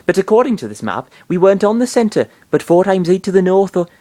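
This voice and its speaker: An earnest male creature companion with a rough Yorkshire accent, pitched noticeably higher than the speaker's own voice, as a man puts him on. He sounds eager and slightly strained as he explains things. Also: sing-song, quick pace, mellow.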